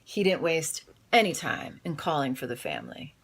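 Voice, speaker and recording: A woman reading a children's storybook aloud in an expressive, dramatic way. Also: deep voice, normal speed, vocal fry, husky. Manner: animated, dramatic read-aloud delivery. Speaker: storybook reader. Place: in bed, indoors.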